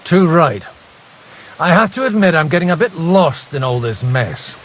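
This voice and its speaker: An actor playing a self-assured, dry-witted medieval adventurer in a game, assertive and sardonic. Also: male voice, fairly high pitch, very animated, moderate pace, fairly bright tone, slight background noise. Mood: dry, questioning.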